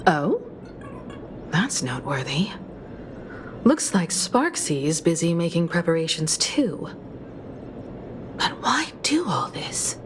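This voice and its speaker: An actress playing a composed, mature woman navigator in a game, calm and measured. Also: fairly low pitch, background noise. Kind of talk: game voice acting.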